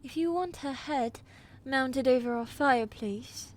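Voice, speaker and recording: A woman playing a fierce, commanding queen in a medieval fantasy audio roleplay, imperious and threatening, with a softer side for her husband. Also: sits high, measured pace. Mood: imperious anger.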